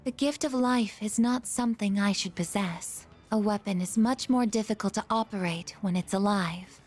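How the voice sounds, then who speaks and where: A woman voicing an earnest, gentle female android in a video game, with measured, formal phrasing. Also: mid-range voice, faint music behind, lightly acted. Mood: tender, earnest.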